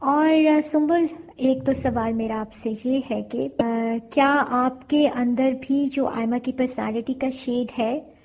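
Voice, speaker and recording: Slow, high-voiced, mellow woman host. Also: fairly even intonation, rough recording. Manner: mixes English phrases into talk. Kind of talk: casual radio-show interview.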